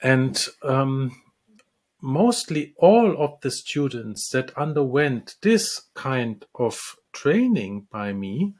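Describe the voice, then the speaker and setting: A man from the Republic, a dentist and lecturer, answering interview questions with many pauses, ums and restarts. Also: medium pitch, big pitch swings. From Czech Republic. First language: Czech.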